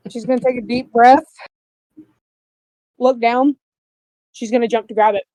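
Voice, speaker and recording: A woman's voice: a little high, fairly even intonation, moderate pace, mellow, no echo, low-quality recording. A woman talking casually and informally, with some swearing, as a player in a tabletop game.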